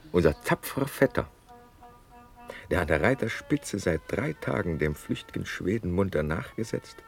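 Deep, wry count in a radio play. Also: male voice, vocal fry, darkish tone. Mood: reporting, urgent. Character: witty young nobleman, loyal count at a Prussian court. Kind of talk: classical verse radio drama acting.

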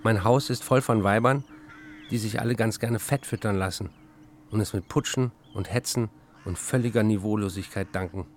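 An actor as a bitter weary writer. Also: male voice, fairly low pitch, normal speed, slight background noise, close to an everyday voice. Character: weary embittered male writer reading his diary and letters. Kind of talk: radio play reading.

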